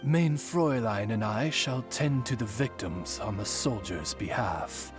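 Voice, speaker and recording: A man's voice: on the low side, lively intonation, measured pace, over music. A man playing a courtly, loyal familiar to a young lady in a video game, speaking in formal, eloquent, butler-like phrases. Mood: courtly, composed. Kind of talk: video game cutscene voice acting.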